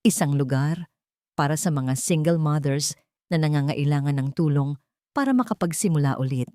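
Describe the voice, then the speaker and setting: Woman voicing earnest repentant father, low and tender. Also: normal speed, mellow, no echo, clean studio sound, close to an everyday voice.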